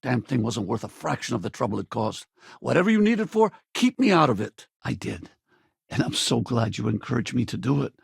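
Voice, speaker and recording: A gruff, easygoing middle-aged space veteran for a video game. His voice is heavily gravelled and rough-edged, recorded close and dry. He talks in a folksy, wry, casual way, like a dad with some regrets. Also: medium pitch, very animated, fairly quick, dry recording, heavily acted. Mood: sincere, reflective. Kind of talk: video game companion dialogue.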